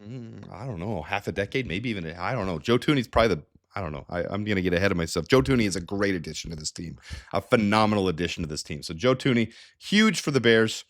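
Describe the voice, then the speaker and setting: Lowish-voiced man, nasal from a head cold. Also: quick pace. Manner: energetic, chatty, with frequent restarts and asides. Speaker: sports channel host. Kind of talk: casual stats analysis.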